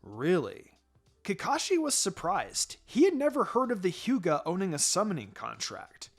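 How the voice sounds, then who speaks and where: Male storyteller for scripted fan fiction; animated delivery, music bed underneath. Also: high-pitched, normal speed. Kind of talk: expressive scripted story narration.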